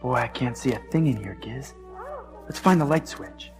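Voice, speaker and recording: An actor playing an earnest, excitable young man in a storybook record dramatization. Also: mid-range voice, normal speed, lightly acted. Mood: hushed, wary. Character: excitable earnest young man, brave but alarmed hero. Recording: music behind the voice.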